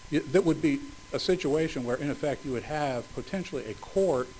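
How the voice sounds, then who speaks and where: Measured man arguing a legal case. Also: a little high, darkish tone, slight background noise, rough recording. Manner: measured, formal legal phrasing with occasional restarts. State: slightly hoarse, coughing. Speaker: attorney arguing for a city. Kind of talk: appellate oral argument.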